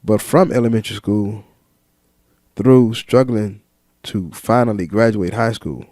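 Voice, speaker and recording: Low, mellow man speaking slowly and deliberately. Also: dry recording, clean studio sound. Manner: slow, deliberate, with repeated words and fillers; lists ideas in measured single words. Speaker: vlogger. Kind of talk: reflective monologue to camera.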